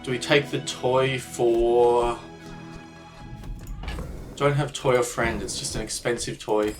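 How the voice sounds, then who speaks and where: A man, slightly croaky from a cold, commentating his own gameplay and thinking aloud about strategy in a self-deprecating way. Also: mid-range voice, fairly even intonation, slow, distant mic, music in the background. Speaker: gaming channel host.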